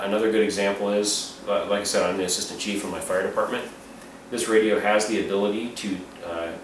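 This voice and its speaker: A man giving an informal, unscripted product review and explainer in a plain-spoken, matter-of-fact way. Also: deep voice. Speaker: reviewer and amateur radio hobbyist. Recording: roomy, distant mic, clearly noisy.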